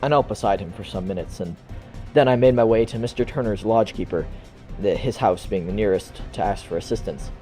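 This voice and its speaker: A man playing a guarded, earnest young son giving formal, halting testimony in an amateur audio drama. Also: fairly low pitch, warm tone, soft background music, lightly acted. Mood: sombre.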